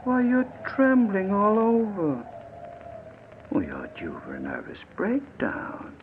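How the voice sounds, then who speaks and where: A man's voice: high voice, huge pitch swings, a little hiss or hum, exaggerated voice. Cold vengeful gunman in old radio play, swoopy mocking delivery, muffled recording. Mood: mocking, taunting. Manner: mockingly polite, sinister, deliberate taunting. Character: menacing vengeful gunman, coldly taunting and sardonic. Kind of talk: 1940s radio drama acting.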